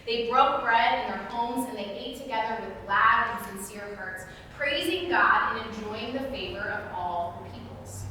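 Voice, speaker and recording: A woman pastor preaches in a relaxed, chatty way. Her voice is bright and carries, but it comes from far off in a big, reverberant hall. A faint murmur of room noise sits under the whole recording. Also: on the high side. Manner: casual, chatty, laughs easily. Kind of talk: sermon.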